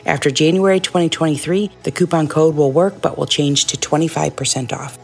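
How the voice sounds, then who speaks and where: A woman's voice: low voice, fairly even intonation, brisk, vocal fry, darkish tone, slightly rough, faint music behind. A woman podcast host reading a scripted sponsor spot in an announcing style. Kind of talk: scripted ad read.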